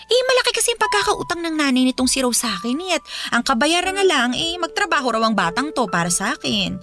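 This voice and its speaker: A woman's voice: high voice, sing-song, fast talker, over music. A woman playing a scheming, harsh mother. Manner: sugary to husband, cruel and scolding to niece. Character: scheming, harsh middle-aged mother posing as aunt. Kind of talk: radio drama acting.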